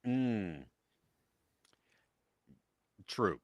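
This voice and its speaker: Chatty low-voiced American man. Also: very animated, very slow, no room sound, highs cut off. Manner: chatty, laughs often, many fillers. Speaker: podcast co-host. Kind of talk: casual live-stream chat.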